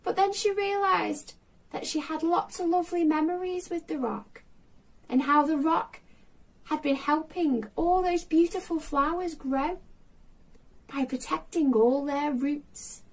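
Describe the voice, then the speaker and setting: A woman's voice: high voice, a little slow, recorded from a distance, rough recording. A woman hosting a children's storytime, chatting directly to young listeners and asking them questions.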